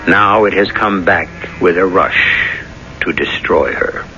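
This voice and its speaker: A man hosting a radio mystery drama, delivering scripted narration in a solemn, measured, literary manner. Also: sits low, sharp tone. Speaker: host and narrator. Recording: muffled 1970s radio, music underneath. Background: music.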